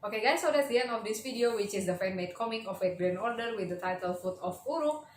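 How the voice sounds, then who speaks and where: A woman's voice: medium pitch, expressive, fast talker. A woman giving casual commentary as the host of a reaction video. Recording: roomy echo, noticeable background noise.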